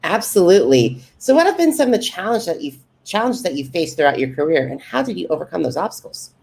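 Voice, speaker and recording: Podcast interviewer, female, gravelly fry in the voice, halting delivery with repeated words. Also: fairly low pitch, very animated, darkish tone. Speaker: podcast host. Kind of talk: podcast interview, reading introduction and questions.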